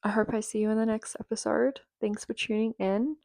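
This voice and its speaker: A woman's voice: fairly high pitch, steady tone, brisk, creaky, a little mellow, no echo, clean studio sound. A woman in her twenties hosting a casual solo podcast, chatty and rambling with lots of fillers, a bit nasal from being sick, recorded at home. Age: 20s. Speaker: podcast host.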